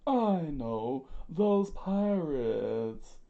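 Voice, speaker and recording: Melodramatic male villain agent for a storybook, voiced by a male reader: loud, raised pitch, indignant exclamations. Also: big pitch swings, extremely slow, phone-quality sound, exaggerated voice. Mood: horrified. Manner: screaming, melodramatic.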